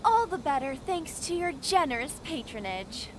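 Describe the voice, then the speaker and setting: An actress playing a polite, deferential shopkeeper in a video game, courteous and ingratiating. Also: female voice, high voice, big pitch swings, moderate pace, a little hiss or hum. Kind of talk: acted video game dialogue. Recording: game music behind the voice.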